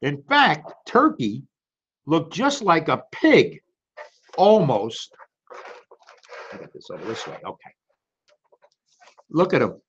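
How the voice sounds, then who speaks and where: A man reads a picture book to children in an expressive, slightly high voice with very lively intonation. He talks straight to his listeners, and the recording sounds a bit dull. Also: slow, pauses often, slight background noise. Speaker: storytime reader. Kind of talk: animated children's storybook read-aloud with casual asides.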